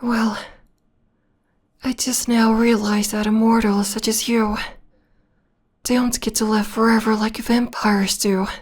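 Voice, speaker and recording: A woman playing a possessive, obsessed young vampire lover in an ASMR romantic roleplay, speaking softly and intimately, often in a breathy whisper. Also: on the high side, little pitch movement. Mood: devoted, teasing. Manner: slow, intimate, sweetly controlling.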